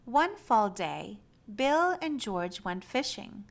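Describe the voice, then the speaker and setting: A woman reading a children's storybook aloud in an expressive, animated storytelling style. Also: medium pitch, takes it slowly, gravelly. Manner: animated, acts out animal sounds and exclamations.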